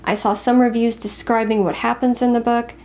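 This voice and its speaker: A woman co-hosting a casual book chat, talking in an expressive, confiding way. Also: fairly high pitch, a little fast, slight background noise. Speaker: co-host of a book review show.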